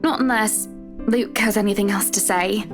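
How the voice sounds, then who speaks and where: A woman's voice: mid-range voice, steady tone, moderate pace, music in the background, close to an everyday voice. A woman voice acting a polite, proper mistress of a mansion in a visual novel. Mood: firm. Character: genteel, proper lady of a mansion.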